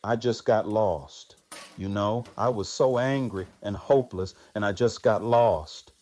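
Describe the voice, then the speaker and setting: A man playing a grieving, reflective widowed survivor in an audio drama, speaking in a halting, subdued manner. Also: fairly low pitch, mellow, close to an everyday voice. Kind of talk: fan audio drama acting.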